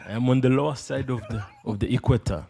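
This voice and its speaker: A man's voice: medium pitch, fast talker, creaky, warm tone. Joking young man from Rwanda. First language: not English. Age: young adult. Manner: joking, hesitant, many fillers. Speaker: podcast guest. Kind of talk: casual interview chat.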